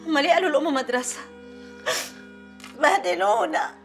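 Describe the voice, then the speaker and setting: A woman's voice: high voice, sing-song, a little fast, soft background music. A woman acting a concerned, pitying colleague in a TV comedy-drama, with an Egyptian accent. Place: small office.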